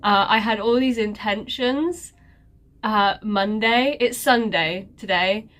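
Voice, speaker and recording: A woman's voice: mid-range voice, slow pace, a bit bright. A woman vlogger giving a casual life update, her nose stuffy and congested, rambling and sarcastic about herself. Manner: sarcastic, self-mocking, rambling with many fillers.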